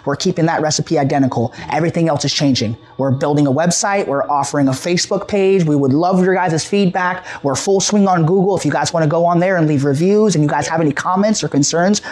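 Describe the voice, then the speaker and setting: American guy in his 30s, high voice, casual shop demo, kinda salesy. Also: a little mellow. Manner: salesman-like, explanatory. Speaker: radio repair shop technician. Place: small cluttered radio repair shop.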